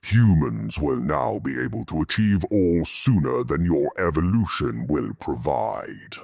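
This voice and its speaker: A man voicing a solemn alien jellyfish being, speaking in a slow, deep, gravelly, formal way. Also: big pitch swings, darkish tone, no room sound, studio quality, heavily acted. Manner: stately, formal pronouncements.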